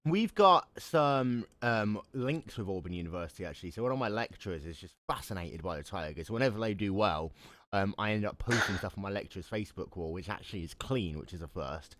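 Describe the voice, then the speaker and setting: An Englishman in his twenties trades crude jokes as a podcast co-host, his voice fairly low and swinging up and down with lively pitch. The sound is dry and close, with no room around it. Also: brisk, no room sound. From England. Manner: crude, joking banter. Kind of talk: casual chat.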